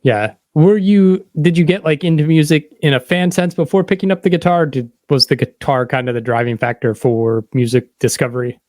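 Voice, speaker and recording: A man's voice: a little high, brisk, few pauses, darkish tone, no room sound, clean studio sound. A man hosting a podcast interview in a casual, conversational style. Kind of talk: casual chat.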